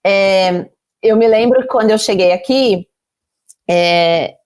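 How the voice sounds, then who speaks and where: A Brazilian woman on a career podcast tells her own story in a candid, unguarded way. She pauses and restarts often as she works through what she means, and her voice sits at an average pitch. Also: darkish tone. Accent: Brazilian Portuguese. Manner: candid, many fillers and restarts, self-questioning. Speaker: podcast guest.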